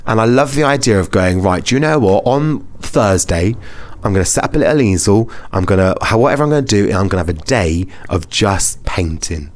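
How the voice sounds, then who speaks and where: A British man with a fairly low voice hosts a casual podcast. He talks informally and chattily, leaning on his words for emphasis. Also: animated, brisk. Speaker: podcast host.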